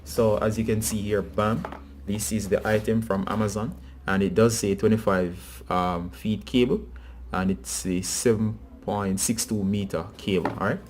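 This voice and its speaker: Man, lowish pitch, casual how-to presenter, talks straight to viewers, noisy recording. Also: fairly even intonation, moderate pace, darkish tone. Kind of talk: casual hands-on tutorial narration.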